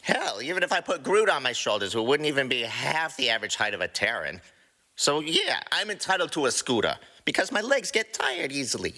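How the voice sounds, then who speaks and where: A man voicing a brash, animated little raccoon troublemaker. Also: mid-range voice, brisk, heavily acted. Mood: cocky storytelling. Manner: cocky, defensive, snickering. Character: brash, snickering small male raccoon troublemaker. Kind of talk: fan fiction comic audio drama.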